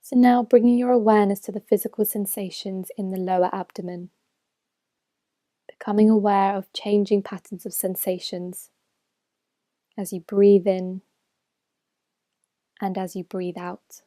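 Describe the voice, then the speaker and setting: A woman leading a guided meditation as a mindfulness teacher, speaking in a gentle, measured way with phrases that soften at the end. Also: medium pitch, fairly even intonation, dry recording, studio quality. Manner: gentle, measured, unhurried instruction with soft phrase endings. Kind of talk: slow guided meditation narration.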